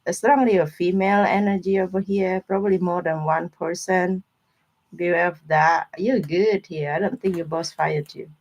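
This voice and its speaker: A woman's voice: fairly low pitch, steady tone, normal speed, a little mellow, rough recording. A woman with informal, non-native English phrasing, chatting casually with viewers as she gives a live tarot reading. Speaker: tarot reader hosting a live stream.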